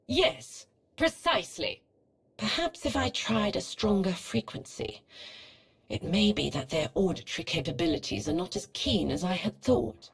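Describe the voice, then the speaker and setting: Husky, coldly clinical Sith hologram. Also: female voice, medium pitch, very animated, moderate pace, exaggerated voice. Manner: formal, clinical, detached. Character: cold female Sith research hologram, clinical and ruthless. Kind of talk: scripted video game acting.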